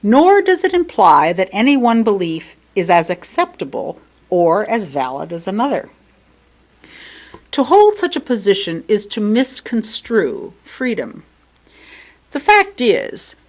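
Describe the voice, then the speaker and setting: An American woman reads a sermon aloud from a script as a lay speaker, phrasing it in a measured, deliberate way. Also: medium pitch.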